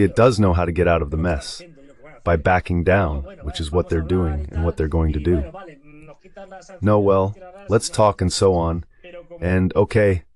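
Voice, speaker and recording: Very deep male commentator, emphatic. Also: a little mellow. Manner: emphatic, repetitive, colloquial. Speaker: political commentator, AI voice-over translation. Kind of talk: conversational geopolitical commentary.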